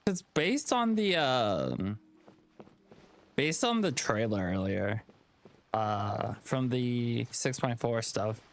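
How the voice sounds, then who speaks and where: A man's voice: mid-range voice, very animated, takes it slowly, creaky. A man streaming a game live, giving casual, rambling commentary as he thinks aloud and chats.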